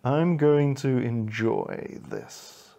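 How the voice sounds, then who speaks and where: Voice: mid-range voice, wildly swooping pitch, extremely slow, creaky, dark tone, pitched below the performer's own voice, full character voice. A woman voicing a pushy, taunting male fox who leads a gang in a children's story. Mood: gloating. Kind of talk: children's animal story character voice.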